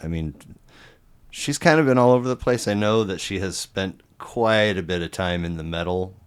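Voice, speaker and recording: A male podcast host with a very low, smooth and resonant voice. He talks in a loose, halting way, circling back and restarting his sentences as he goes. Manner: halting, many restarts and fillers. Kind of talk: casual podcast interview.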